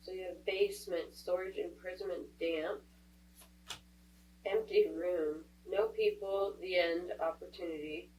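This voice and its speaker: Stuffy-nosed woman chatting thru a tarot reading, lowish voice, mic kinda far off w faint hum. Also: fairly even intonation, a little slow, darkish tone. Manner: chatty, thinking aloud with fillers. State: stuffed up from a cold. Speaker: tarot reader.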